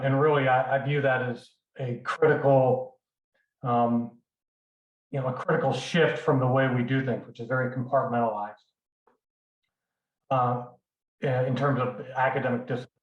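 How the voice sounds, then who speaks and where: A man running for school board, giving an earnest, halting statement with many fillers over a video call. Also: medium pitch, echoey room, lo-fi sound. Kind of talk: forum opening statement.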